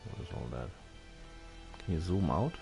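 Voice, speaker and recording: A man doing casual gameplay commentary, halting as he thinks aloud and reads on-screen text. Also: low voice, big pitch swings, creaky, darkish tone. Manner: halting, musing, puzzled asides. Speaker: gaming video host. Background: game music.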